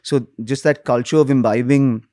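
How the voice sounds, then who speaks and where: A man from India in his forties, a comedian chatting as a guest in an interview, lively, jumping higher when he quotes people. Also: moderate pace, no echo, clean studio sound. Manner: animated, pitch jumps up when quoting people. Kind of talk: casual interview chat.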